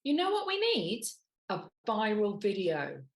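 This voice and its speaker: A woman's voice: mid-range voice, huge pitch swings, measured pace. A woman playing a sales rep at a struggling firm in an amateur comic story. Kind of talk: amateur comic audio drama.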